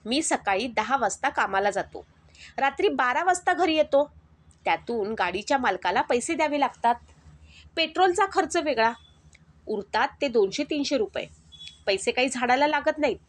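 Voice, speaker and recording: A woman voicing a greedy adult man who plots in a low, persuasive voice. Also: animated, moderate pace. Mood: weary.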